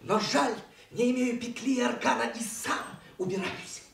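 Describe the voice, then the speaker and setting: An impudent, nimble jester in a classical radio play. The voice is strikingly high, teasing and sing-song. It rings in an echoey hall, slightly distant from the microphone. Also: male voice, moderate pace, vocal fry, fairly bright tone, slight background noise, full character voice. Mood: mocking urgency. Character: impudent, nimble court fool, teasing in rhyme.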